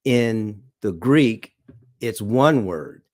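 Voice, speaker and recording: A man's voice: lowish voice, animated, no echo, clean studio sound. A man teaching a Bible study lesson, reading scripture aloud and checking in often with "okay?" and "all right?". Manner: reads scripture aloud slowly.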